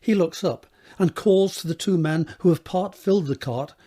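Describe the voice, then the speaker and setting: A man narrating a scripted drama, setting each scene in a measured, descriptive read with a husky voice. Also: on the high side, mellow.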